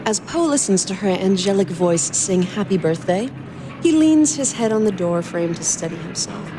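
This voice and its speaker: A woman's voice: mid-range voice, expressive, moderate pace, noisy background. A woman co-hosting a podcast, moving between banter and narrating stories aloud. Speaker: podcast co-host and musician.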